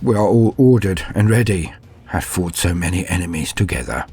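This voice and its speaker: A man performs a dutiful medieval fantasy knight, afraid yet steadfast, in a deep, low voice. He tells his tale as solemn audio drama, with grave, archaic phrasing and dramatic pauses, over faint music. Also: lively intonation, darkish tone, slightly rough. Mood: resigned resolve. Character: frightened but dutiful male knight recounting doomed battle. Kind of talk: dramatic first-person audio drama narration.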